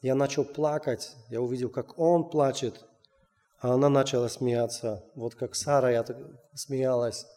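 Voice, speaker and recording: A man telling a missionary testimony, halting with many restarts. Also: medium pitch, fairly even intonation, dark tone. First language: Russian. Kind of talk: personal testimony. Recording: long hall reverb.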